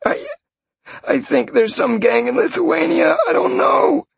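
Man doing a cowering hired mage: high, babbling, desperate pleas in a dry husky voice. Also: expressive, measured pace, no echo, exaggerated voice. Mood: panicked. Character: panicked beaten male tracking mage, pleading.

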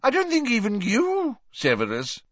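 A man voicing a jovial, tipsy older male professor in an audiobook, booming and effusive. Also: huge pitch swings, moderate pace, a bit bright, no room sound, highs cut off, heavily acted.

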